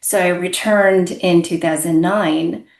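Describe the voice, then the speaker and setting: A middle-aged woman from Southern California, an ethics professor and book author, answering interview questions in an articulate, measured way. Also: fairly low pitch, fairly even intonation, roomy sound, low-quality recording. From United States (Southern California). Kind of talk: interview conversation.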